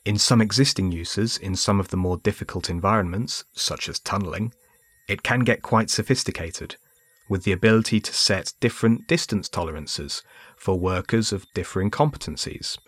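A man reading scripted documentary narration in a measured way. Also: sits low, fairly bright tone, no room sound.

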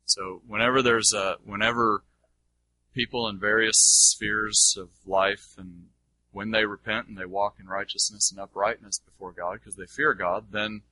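A man's voice: low-pitched, pushed and bright, rough recording. A man answering audience questions as a teacher, informally and emphatically, often repeating himself and checking that listeners follow.

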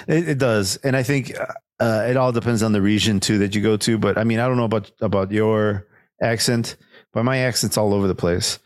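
A man's voice: fairly low pitch, fairly quick, no echo, clean studio sound. A Mexican-man, co-hosting a casual show review, rambling and laughing often. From Mexican-American, United States. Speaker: podcast co-host.

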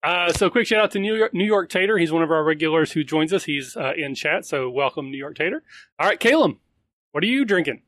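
A man's voice: a little high, expressive, a little fast, a bit bright, no echo. A man hosting a live podcast, upbeat and prone to repeating words as he introduces guests. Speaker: podcast host.

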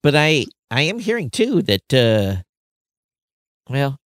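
A man's voice: medium pitch, sing-song, slow pace, fairly bright tone, dry recording, studio quality. A man co-hosting a podcast, chatting casually and reading out figures off the cuff. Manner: chuckles often, reads out numbers off the cuff. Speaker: podcast co-host. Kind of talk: casual chat about industry figures.

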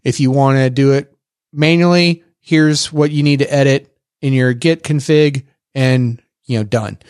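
A man's voice: medium pitch, steady tone, measured pace, darkish tone, clear, resonant tone, dry recording, clean studio sound. A man with a Texas accent, co-hosting a tech podcast in a rambling, casual way with many fillers and restarts. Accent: Texan. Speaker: podcast co-host.

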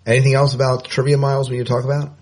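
A man co-hosting a podcast in casual chat, easygoing and self-deprecating, often trailing off quieter. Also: medium pitch, little pitch movement, rapid-fire. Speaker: podcast co-host.

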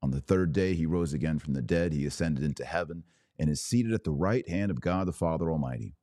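Man, very low pitch, dry close-mic sound, emphatic preaching monologue, deliberate pauses. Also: mellow, no room sound. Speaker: religious podcast host.

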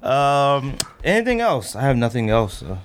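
A man's voice: mid-range voice, very animated, normal speed, smooth. A man hosting a podcast in casual chat, putting in short, informal questions and reactions. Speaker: podcast host asking questions.

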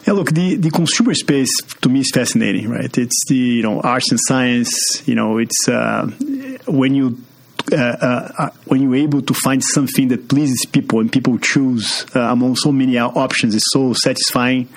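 A man's voice: medium pitch, normal speed, gravelly. A man from Brazil with a Brazilian accent, a guest in a business podcast interview, speaking with many fillers and restarts. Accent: Brazilian Portuguese. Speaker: guest, marketing executive.

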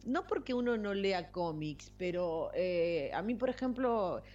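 A woman's voice: on the low side, sing-song, unhurried, a little mellow. A woman with an Argentine accent chatting casually about books as a podcast co-host. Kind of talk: casual book chat. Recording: slightly muffled lo-fi audio.

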